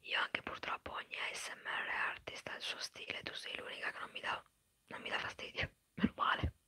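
A woman whispering quickly and chattily in an ASMR live stream, answering viewers' comments. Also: bright, forceful tone. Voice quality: breathy whisper. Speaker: ASMR creator.

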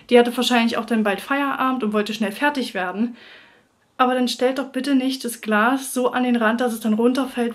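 A woman in her thirties chatting casually in a daily family vlog, recorded at home on a camera at arm's length. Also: a little high. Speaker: family vlogger, mother of three. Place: furnished rooms at home, indoors. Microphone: handheld or propped camera at arm's length.

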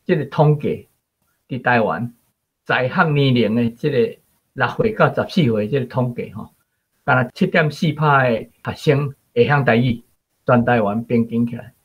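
An older man with a Taiwanese accent and a husky voice, giving emphatic unscripted commentary as a guest. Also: medium pitch, normal speed. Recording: dull lo-fi recording.

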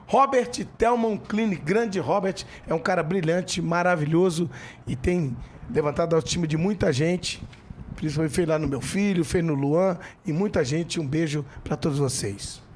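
Brazilian podcast host guy, middle aged, pumped ad-read energy, kinda high voice. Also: normal speed, darkish tone, a little hiss or hum. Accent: Brazilian Portuguese. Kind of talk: casual podcast talk with live ad reads. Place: podcast studio. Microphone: handheld mic.

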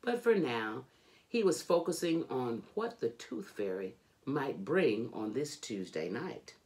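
A middle-aged woman reading a children's book aloud in an expressive, deliberate, emphatic storytelling manner. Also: a bass voice. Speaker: storybook reader. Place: indoors, furnished home room.

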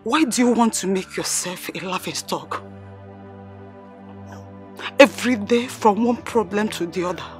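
A woman's voice: mid-range voice, measured pace, long pauses, soft background music, natural voice. A woman playing a mother who scolds and pleads with her wayward daughter. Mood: scolding. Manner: scolding, pleading, near tears.

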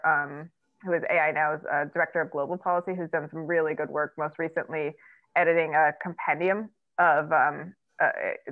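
A woman's voice: deep voice, no room sound. A woman in her thirties answering questions over a video call from a room at home, talking with many fillers and restarts and gesturing as she goes. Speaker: keynote guest on tech ethics. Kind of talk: conversational Q&A answer. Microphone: earbud headset on a video call. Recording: dry, tinny video-call audio.

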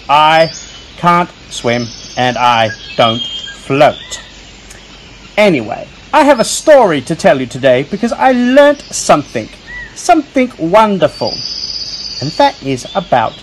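Young male squirrel, high voice, swooping intonation, theatrical, self-important, children's storytelling, faint birdsong and noise. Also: full character voice. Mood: excitable, chatty.

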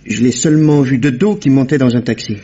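An actor playing a wary, evasive fake blind beggar in a vintage radio drama, with a husky voice. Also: male voice, mid-range voice, dark tone, lightly acted. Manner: evasive, quick replies. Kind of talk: 1950s radio drama acting. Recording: dull old radio recording.

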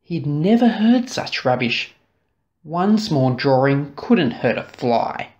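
Low-voiced, animated woman reading a storybook. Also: lo-fi sound. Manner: dramatic, deliberate, drawn-out key words. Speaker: storybook reader. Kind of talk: expressive children's story narration.

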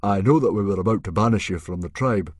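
A man voicing a blustering, awkward Viking chief who declaims loudly as if in command, for a storybook. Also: fairly low pitch, fast, slightly rough, dry recording, clean studio sound. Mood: awkward, formal. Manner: loud, declamatory, trying to sound in command.

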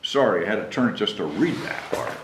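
A man's voice: on the low side, lively intonation, fairly quick, noisy background. A man in his sixties reads a picture book aloud to children expressively, speaking simply to them in a small room. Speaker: mayor reading at a library children's story time. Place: small meeting room with whiteboard, at a table. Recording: roomy, echoing indoor sound.

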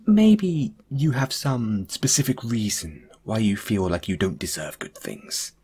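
A man speaking in a soft, breathy, sometimes whispered voice, gently reassuring the listener in an intimate comfort roleplay monologue. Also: fairly low pitch, lively intonation, measured pace. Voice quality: breathy, hushed, at times whispering. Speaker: audio roleplay performer.

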